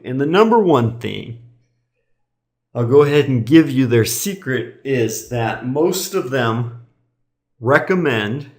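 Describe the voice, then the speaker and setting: A man teaching English online, speaking slowly and deliberately for learners. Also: medium pitch. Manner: slow, deliberate delivery for learners. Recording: echoey room.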